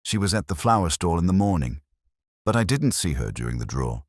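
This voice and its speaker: A man's voice: sits low, big pitch swings, a little fast, no room sound, studio quality, pitched above the performer's own voice. A man voicing a helpful village vicar in a mystery story, careful and factual. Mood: certain.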